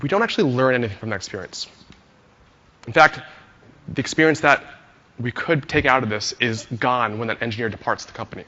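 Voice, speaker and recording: A hoarse man giving a friendly conference talk to an audience, telling a story with many "uh" fillers. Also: mid-range voice, lively intonation, brisk, long pauses, sharp tone, long reverb tail, lo-fi sound. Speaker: conference speaker, engineer.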